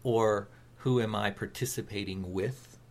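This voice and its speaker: A man co-hosting a talk radio show, speaking in an earnest, measured and encouraging, reflective way. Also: on the low side, fairly even intonation.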